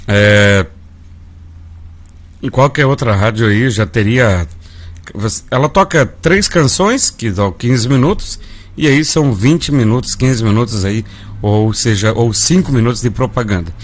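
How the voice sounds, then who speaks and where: A man's voice: medium pitch, a little slow. A Brazilian man hosting a live gospel radio show, folksy and calling his listeners family. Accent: Brazilian Portuguese. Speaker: radio host.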